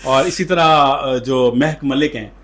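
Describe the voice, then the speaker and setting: A man's voice: mid-range voice, slow, fairly bright tone. A man with a Pakistani accent interviewing a guest in a wordy, colloquial, conversational way.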